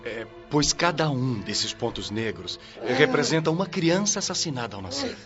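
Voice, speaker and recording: Righteous spirit-world watchman, highish male voice, very animated intonation, grave moralizing, music behind. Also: normal speed, highs cut off. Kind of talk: radio drama acting.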